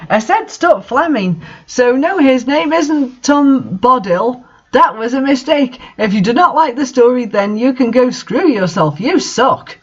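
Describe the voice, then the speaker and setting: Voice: mid-range voice, husky. Exasperated sardonic reader with dull lo-fi sound. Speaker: reader and commentator of bad fan fiction. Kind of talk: mocking reading with commentary.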